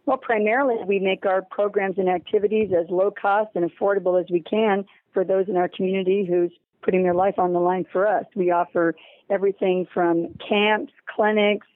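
A woman's voice: medium pitch, steady tone, a little fast, no room sound. A woman interviewed as a charity representative, explaining things over a narrow phone line.

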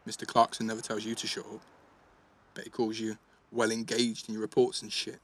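A man's voice: lowish voice, monotone, brisk, lightly acted. A man playing a cheeky, restless teenage schoolboy in care, blunt and slangy, in a naturalistic audio drama. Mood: guarded, wry.

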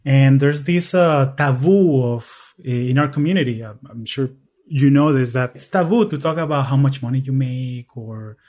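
A man from Venezuela, hosting a podcast interview in an earnest, measured way with some halting restarts. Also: medium pitch, darkish tone. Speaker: podcast host.

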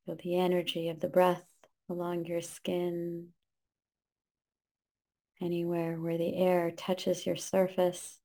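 Slow, mellow woman guiding meditation. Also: on the low side. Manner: calm, gentle instruction with short spaced phrases. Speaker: meditation guide. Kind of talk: guided meditation.

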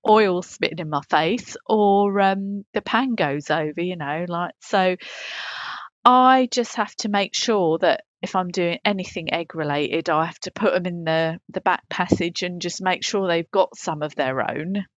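A woman's voice: fairly low pitch, a little slow, no room sound, dull audio, lightly acted. A British woman playing a chatty pop singer, rambling matter-of-factly as a podcast host. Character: chatty dog-owning pop singer answering fan questions. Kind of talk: comic in-character advice segment.

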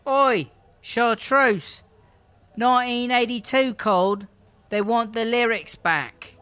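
A woman's voice: on the high side, slow, fairly bright tone, clear, resonant tone, faint music behind. A woman playing a defiant, foul-mouthed tough prisoner and rapper with a British accent in a comedy audio drama, blunt and cheeky.

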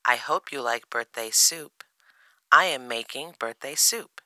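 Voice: medium pitch, measured pace, bright, forceful tone, dry recording. A narrator voicing a cheerful, eager little boy bear cub in a children's storybook. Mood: friendly, excited. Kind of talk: children's storybook character reading.

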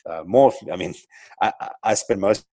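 A man's voice: deep voice, moderate pace, vocal fry, warm tone, no room sound. A middle-aged man with a South African accent, a podcast guest, talking reflectively with many restarts and fillers. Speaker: guest, entrepreneur. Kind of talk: casual interview conversation.

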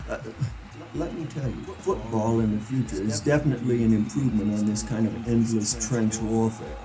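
An actor playing the exasperated, fun-loving ghost of a dead sportswriter in a 1920s comic audio drama, chatty and opinionated. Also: male voice, on the low side, normal speed, over music, close to an everyday voice, poor audio. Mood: sardonic.

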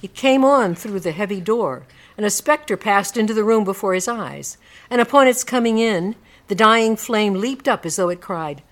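A woman narrator reading a classic story aloud as dramatic storybook narration for a radio play. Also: medium pitch.

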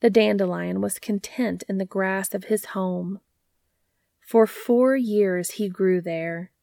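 A woman's voice: mid-range voice, slow pace, creaky, dark tone, no room sound, clean studio sound. A woman hosting and reading stories aloud in scripted storybook narration, with music behind her. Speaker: podcast host and fan fiction reader.

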